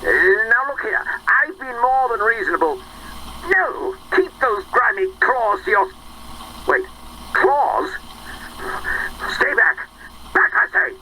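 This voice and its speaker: An actor playing a pompous old-fashioned upper-class British gentleman officer in a theatrical game audio log. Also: extremely high pitch, very animated, takes it slowly, pauses often, slight background noise, full character voice, rough recording. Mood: alarmed. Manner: theatrical, blustering, exclamatory.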